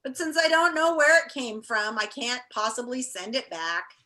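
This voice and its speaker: A woman voicing a bored, glum young boy in a storybook, grumbling and wistful with drawn-out words. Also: a little high, bright, forceful tone. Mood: puzzled, glum.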